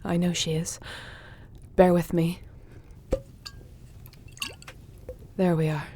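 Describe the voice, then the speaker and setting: An actress playing a gentle, reassuring sorceress and healer in a fantasy audio drama, with a soft, soothing manner. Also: female voice, on the low side, flat delivery, moderate pace, husky. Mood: soothing, concerned.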